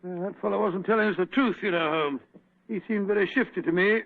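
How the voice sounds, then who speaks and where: A fussy doctor companion, stammering, high gravelly voice, phone-thin old broadcast. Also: male voice, brisk, background noise. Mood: blustery. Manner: blustery, stammering. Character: bluff, fussy male doctor sidekick. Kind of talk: 1940s radio acting. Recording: tinny old radio broadcast.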